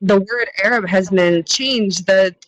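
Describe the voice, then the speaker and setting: A woman's voice: medium pitch, a little slow, poor audio. A young woman from the United States answering interview questions over a video call, earnest and explanatory. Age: young adult. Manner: earnest, explanatory, laughs now and then. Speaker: guest. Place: indoors, wood-panelled room. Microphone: video call webcam.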